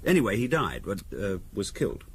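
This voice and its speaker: An actor playing a wry, teasing middle-class English husband in a 1970s radio drama, conversational with deliberate pauses. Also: male voice, fairly low pitch, big pitch swings. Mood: grave reminiscing. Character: teasing middle-class husband, dryly morbid, provocatively theorising. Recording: faint hiss on 1970s radio recording.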